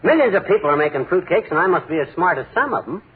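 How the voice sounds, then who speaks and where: 1940s radio comedy: a big-talking braggart husband, high and bouncy; tinny old broadcast with hiss. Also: male voice, sing-song, fast talker, breathy edge, heavily acted. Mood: blustering. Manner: blustering, big-talking, folksy slang. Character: boastful scheming middle-aged husband.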